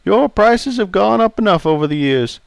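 Male narrator as jovial older customer, folksy teasing, gravelly, dry close sound. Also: high-pitched, expressive, moderate pace, dry recording, pitched above the performer's own voice.

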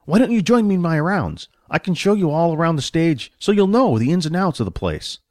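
A man's voice: fairly high pitch, sing-song, a little fast, darkish tone, no room sound, studio quality, pitched above the performer's own voice. A man voicing a cheerful, proud male general manager in an audiobook, chatty and eager to explain. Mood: friendly, appraising. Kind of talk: audiobook character voice.

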